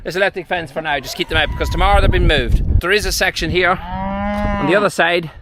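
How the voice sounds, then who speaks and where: A man's voice: high-pitched, expressive, fairly quick. An Irish man, a farmer, casually explaining his work to camera in a down-to-earth, mildly sweary way. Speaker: farmer and farm vlogger. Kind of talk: casual vlog commentary while working. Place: outdoors in cattle fields. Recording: very noisy outdoor farm, cattle mooing.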